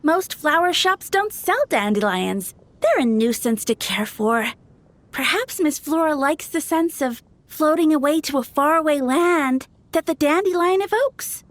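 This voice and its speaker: A woman's voice: high-pitched, animated, measured pace, no room sound. A woman voicing a timid young flower shop girl in a video game, sweet, eager to please and easily flustered. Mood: polite, chatty. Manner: nervous, sweet, stammering when flustered.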